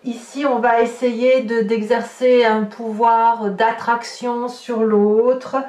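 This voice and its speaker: A woman giving an unscripted tarot reading as a guidance monologue, halting and often restarting as she searches for words. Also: a little high, a little mellow. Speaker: tarot card reader. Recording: roomy echo, distant from the mic.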